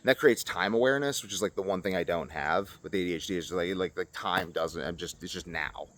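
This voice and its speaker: A man's voice: low-pitched, brisk, a bit bright. A man in his twenties talking casually and unscripted to a camera as a vlogger, rambling from one tangent to another. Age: 20s. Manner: rambling, jumping between tangents. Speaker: video creator.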